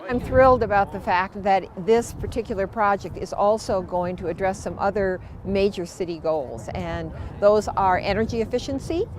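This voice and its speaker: Casting: woman official, enthusiastic, mid-pitched, outdoor interview. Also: moderate pace, hardly any pauses, some chatter behind, slight background noise. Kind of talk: interview soundbite.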